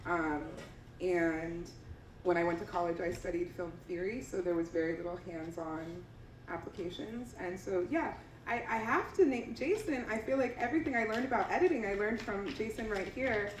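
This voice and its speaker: A woman's voice: medium pitch, moderate pace. A woman in her thirties from the Bay Area, a filmmaker talking on a live panel in a chatty, thankful way with many restarts. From San Francisco Bay Area. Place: theater stage before an audience. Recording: echoey hall, audience noise, far mic.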